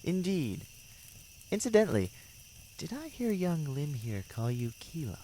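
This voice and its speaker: A man's voice: a little high, big pitch swings, a little slow, warm tone, slight background noise. A man playing a devilish, mocking demon tempter in a fan audio drama, speaking with theatrical mock courtesy and ornate words. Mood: sly amusement.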